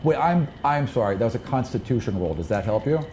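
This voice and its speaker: A man's voice: medium pitch, lively intonation, fast talker. A man running a tabletop roleplaying game, narrating scenes off the cuff in a conversational, vivid way. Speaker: game master. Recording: noisy room ambience behind voice.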